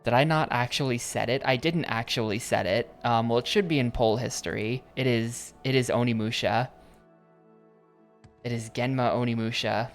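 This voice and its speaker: A man's voice: mid-range voice, steady tone, moderate pace, soft background music. A man streaming a video game, chatting casually and rambling between reading game text aloud. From United States. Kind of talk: casual gameplay commentary.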